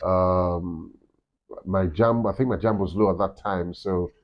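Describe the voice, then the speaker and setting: A Nigerian man with a deep voice, a campus radio director chatting in an interview and telling stories with many "you know"s. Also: unhurried. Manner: rambling storytelling, frequent "you know". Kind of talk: casual interview chat. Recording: dull lo-fi sound.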